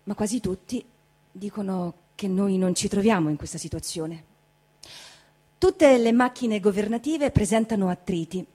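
A woman reading an essay aloud as a stage reader, in a formal, measured oratorical way. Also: medium pitch, mellow, slightly rough.

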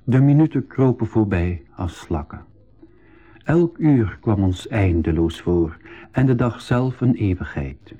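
A man narrating a radio drama as a reflective crew member, in deliberate storytelling style on a slightly dull recording. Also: fairly low pitch, expressive, mellow. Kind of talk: scripted first-person radio drama narration.

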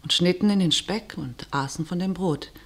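An actress playing a cautious, fearful sister who recounts wartime terror in declamatory rhymed verse for a radio drama. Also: female voice, fairly low pitch, moderate pace. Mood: grave narration. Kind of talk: theatrical verse radio drama, narrating and in dialogue.

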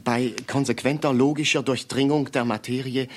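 An actor in a German radio play, playing an impoverished, pedantic amateur sleuth who speaks formally and deferentially in long, elaborate sentences. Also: male voice, medium pitch, fairly even intonation, moderate pace, natural voice. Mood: earnest, insistent.

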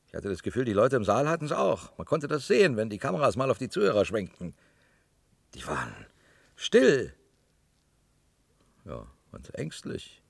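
Gruff, bossy man, gravelly. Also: on the low side, no room sound, clean studio sound, exaggerated voice. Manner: blunt, colloquial, domineering. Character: brash, bossy male underground worker, gruff but chummy. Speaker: radio play actor. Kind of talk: German radio drama acting.

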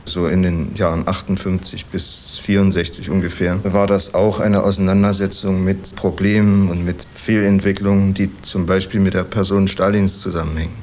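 Faint background noise sits behind a man with a low voice. He speaks as an interviewee, explaining his point insistently. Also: little pitch movement, normal speed, hardly any pauses, darkish tone.

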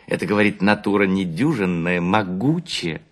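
A man playing a chatty, gullible husband in a story reading, animated and scoffing as he gossips. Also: low voice, moderate pace. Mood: mocking excitement. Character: chatty, gullible husband mockingly quoting his wife's admirer.

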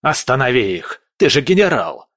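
A man's voice: lowish voice, animated, a little slow, husky, no room sound, studio quality, exaggerated voice. A man voicing a ranting, cowardly prince. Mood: furious. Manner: shouting, ranting, furious. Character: cowardly, hot-tempered male prince.

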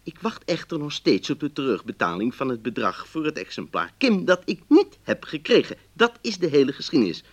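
Aggrieved polite letter writer, radio play, gravelly, medium pitch, earnest, emphatic. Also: male voice, heavily acted. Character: exasperated, polite male book-club customer writing letters.

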